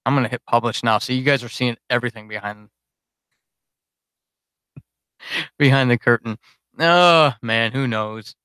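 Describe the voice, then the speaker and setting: A man's voice: medium pitch, a little slow, pauses often, bright, forceful tone, smooth, no echo. A man chatting casually and unscripted to his supporters on a livestream, rambling, informal and self-deprecating as a podcast host.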